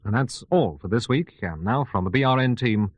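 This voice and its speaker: A man playing a studio news anchor, reading a scripted bulletin in a formal, measured, authoritative way for a radio drama. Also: mid-range voice, expressive, highs cut off, lightly acted. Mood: formal, serious. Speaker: news presenter.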